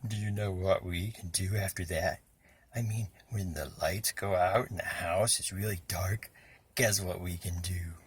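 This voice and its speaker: A man's voice: deep voice, fairly even intonation, measured pace, slightly rough, full character voice. A man voicing a boisterous young boy who eagerly plans spooky fun in a children's storybook. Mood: conspiratorial. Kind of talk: children's picture-book reading.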